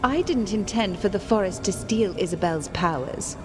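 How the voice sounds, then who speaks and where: A woman's voice: mid-range voice, animated, moderate pace, background noise, lightly acted. An actress playing a haughty, sinister, scheming witch in a video game, with theatrical menace. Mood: coldly threatening. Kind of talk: video game character acting. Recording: game music behind.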